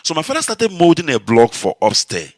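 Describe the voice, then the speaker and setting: A man, an Igbo speaker, telling a personal story on a live broadcast in an animated, emotional way. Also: brisk, no room sound, clean studio sound. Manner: animated, emotional, swinging high when excited. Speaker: radio broadcast host.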